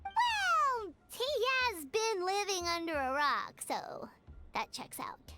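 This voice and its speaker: A woman voicing an excitable, quippy young female companion in a game. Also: very high-pitched, takes it slowly, very noisy, exaggerated voice. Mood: musing. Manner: animated, quippy.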